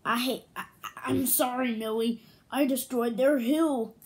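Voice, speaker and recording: A woman's voice: a little high, fairly even intonation, slow pace, a little mellow. A woman voicing a flustered, apologetic husband and young father in a read-aloud storybook, hesitant and given to exclaiming.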